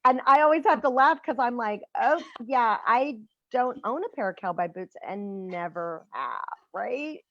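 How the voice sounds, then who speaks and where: A talkative host from Central Texas with a slightly high voice. Her video-call audio sounds dry and dull. She chats and asks her guest questions in a relaxed way. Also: unhurried, dry recording. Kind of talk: casual interview chat.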